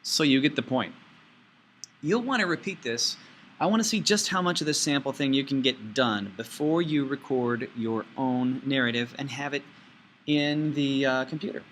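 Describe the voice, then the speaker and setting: Bright-voiced man teaching, playful. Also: mid-range voice, moderate pace, slight background noise. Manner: playful, talks through each action aloud. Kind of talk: informal step-by-step software tutorial.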